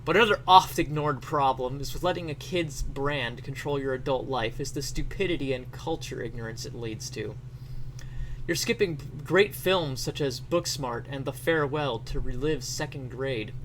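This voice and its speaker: A man's voice: medium pitch, normal speed, a bit bright, a little hiss or hum, rough recording. A man doing a mock dramatic reading, theatrical in delivery, with sarcastic asides.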